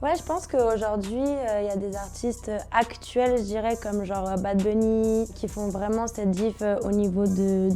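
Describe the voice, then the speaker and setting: A young woman from Brazil, a singer, answers interview questions in a relaxed, slangy way and often trails into hesitations. Her voice is warm and mellow, at a middling pitch. Soft music plays faintly behind her. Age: 20s.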